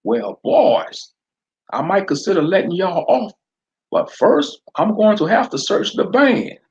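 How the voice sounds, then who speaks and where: A sneering Southern sheriff. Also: male voice, sits high, animated, a little slow, vocal fry, highs cut off, pitched above the performer's own voice, exaggerated voice. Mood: threatening. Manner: drawling threats, sneering. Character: bullying small-town male sheriff, menacing and smug.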